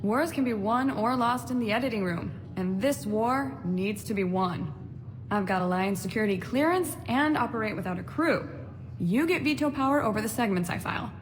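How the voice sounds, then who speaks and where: A confident military news reporter from a video game, acted by a woman. She is businesslike and persuasive, in a medium-pitched voice. The sound has hall reverb and faint background ambience. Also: expressive, slight background noise, close to an everyday voice. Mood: self-assured. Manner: brisk, persuasive, self-assured. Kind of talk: dramatic video game dialogue.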